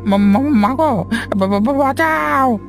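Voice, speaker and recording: Woman playing a high-voiced, stammering cowardly village man. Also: animated, gravelly, soft background music, exaggerated voice. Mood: terrified. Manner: stammering, panicky, halting. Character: frightened, cowardly village man pleading for his life. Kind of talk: Bengali cartoon voice acting.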